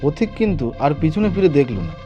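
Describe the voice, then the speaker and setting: A man tells a scripted horror tale in a fairly high voice with very animated intonation. He pauses dramatically between short phrases, and faint music plays behind him. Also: dull audio. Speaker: storyteller. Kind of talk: scripted horror story narration.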